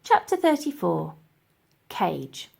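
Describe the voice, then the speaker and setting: A woman narrating a scripted children's audiobook in an expressive, deliberate storytelling manner. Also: on the low side, creaky, a little hiss or hum. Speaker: audiobook reader.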